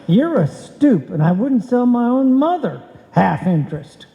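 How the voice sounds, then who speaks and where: A man's voice: extremely high pitch, big pitch swings, a little slow, gravelly, warm tone, exaggerated voice. A man playing a blunt, stubborn Christmas tree painter in old-time radio comedy, curt and combative. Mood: curt, insulting. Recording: thin, dull live recording, echoey hall.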